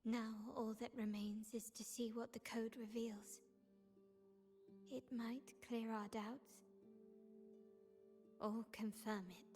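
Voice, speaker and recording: A woman's voice: a little high, level tone, slow pace, pauses often, a little mellow, long reverb tail, faint music behind. A woman voicing a poised, composed and calculating young heiress of a noble family in a video game. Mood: hushed, brooding.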